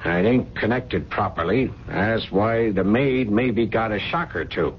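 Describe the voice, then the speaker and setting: A man's voice: on the low side, measured pace, close to an everyday voice. An actor playing a streetwise working-class repairman in a radio drama, colloquial and jokey, explaining things with relish. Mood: jovial, cautioning. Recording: dull, noisy 1970s radio broadcast.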